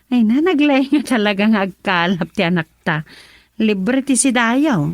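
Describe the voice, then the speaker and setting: An actress playing a gentle married domestic helper in a radio drama, with a Filipino accent. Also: female voice, fairly high pitch, animated, a little slow, no room sound, studio quality. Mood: caring. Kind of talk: Ilocano radio drama acting.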